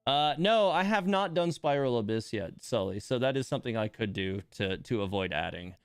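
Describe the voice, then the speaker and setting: A man's voice: mid-range voice, lively intonation, moderate pace, clear, resonant tone, no echo, studio quality. Man, clean resonant voice, casual livestream commentary, exasperated muttered asides. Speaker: livestream host playing a gacha game.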